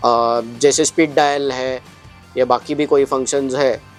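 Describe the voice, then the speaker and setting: A man's voice: mid-range voice, steady tone, a little slow, soft background music. A man gives a casual step-by-step product tutorial, explaining things and mixing in English phrases. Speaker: product reviewer and shop presenter.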